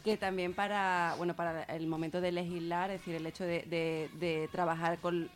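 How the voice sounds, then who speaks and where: A woman's voice: fairly low pitch, flat delivery, moderate pace, noisy background. A woman with a Spanish accent from Spain speaking informally as a radio guest, explaining things reflectively and repeating words for emphasis. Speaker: guest speaker on feminist therapy and gender violence.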